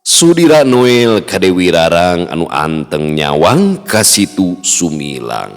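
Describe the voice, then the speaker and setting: A man narrating a traditional folk tale as storyteller, with a slow, melodic delivery. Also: deep voice, big pitch swings. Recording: long reverb, faint music behind.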